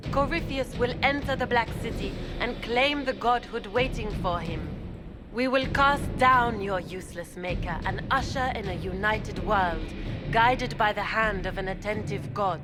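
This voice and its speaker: Woman doing a silky scheming noble villainess, smug and cold, game cutscene, music loud behind her. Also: medium pitch, unhurried, lightly acted. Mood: zealous. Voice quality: silky, condescending. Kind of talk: video game cutscene dialogue.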